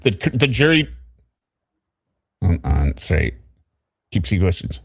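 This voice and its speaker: Animated man giving casual trial commentary. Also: low-pitched, slow pace, vocal fry, noisy background. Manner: animated, mimics quoted people in a raised voice. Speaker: host of a trial recap show. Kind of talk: casual commentary with read-out quotes.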